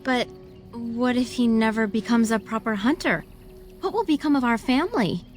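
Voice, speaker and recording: A young elf woman from a fantasy game, played by an actress, with a fairly high voice. She speaks earnestly and formally, wearing her heart openly, with music behind her. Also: animated, normal speed, lightly acted. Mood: troubled. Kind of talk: fantasy video game acting.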